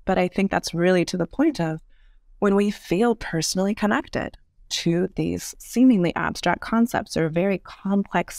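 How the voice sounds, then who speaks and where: A woman in her thirties explaining ideas as an interview guest, articulate and measured, with polished professional phrasing. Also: medium pitch, creaky, a little mellow, no echo, studio quality. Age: 30s. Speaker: podcast guest.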